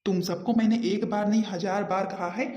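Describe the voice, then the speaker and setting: A woman's voice: medium pitch, steady tone, normal speed, dark tone, lightly acted. A woman voicing a stern adult household relative in a comedy cartoon, scolding and insistent. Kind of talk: Hindi comedy cartoon dialogue. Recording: echoey, dull lo-fi sound.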